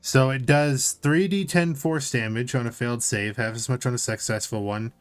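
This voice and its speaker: A man's voice: medium pitch, normal speed, clear, resonant tone. A man co-hosting a casual tabletop gaming discussion, informally reading out notes and commenting on them. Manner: informal, reads notes aloud then comments. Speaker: podcast co-host.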